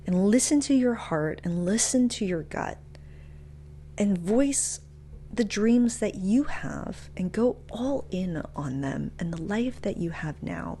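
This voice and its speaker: A woman's voice: on the low side, dark tone, slight background noise. A woman speaking as a motivational speaker, casual and frank, with emphatic delivery and slangy asides. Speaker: motivational speaker and podcast host. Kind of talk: motivational talk with personal anecdotes.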